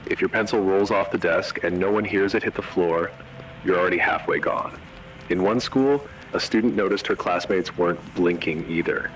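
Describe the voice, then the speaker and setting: A man voicing a grave emergency announcer in an analog horror video, giving measured, solemn warnings. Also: sits low, a bit bright, soft background music, poor audio. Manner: measured, ominous, short solemn sentences. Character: grave male emergency broadcast announcer issuing eerie warnings. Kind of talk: analog horror scripted narration.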